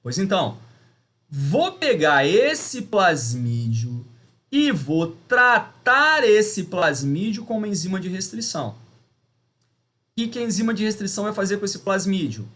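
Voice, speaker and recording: A male teacher with a Brazilian Portuguese accent and a high-set voice. His intonation swings widely as he explains things step by step, stressing key terms and checking in with rhetorical questions. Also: very animated, unhurried, a bit bright. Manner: didactic, emphatic, with rhetorical questions and check-ins. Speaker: biology teacher. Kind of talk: video lesson, explaining step by step.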